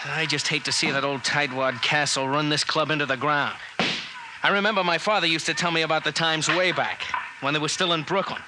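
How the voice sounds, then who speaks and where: A man acting a needling American newspaperman with a fairly high voice, chummy and slangy in the 1950s radio manner. The old broadcast recording is noisy and clicky. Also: fairly even intonation, a little fast, natural voice. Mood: disgusted, nostalgic. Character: brash, needling, grumbling male newspaper sportswriter.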